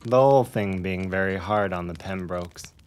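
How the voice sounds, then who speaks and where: A performer playing an ominous small-town gossip with dark secrets in a period audio drama, talking in an understated, insinuating way. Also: deep voice, moderate pace, smooth, lightly acted.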